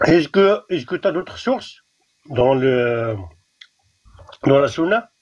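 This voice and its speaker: A man's voice: a little high, lively intonation, takes it slowly, muffled recording. A man from Algeria with a Kabyle accent, whose first language is Kabyle, arguing insistently in a live debate and often restarting his words. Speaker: debate guest.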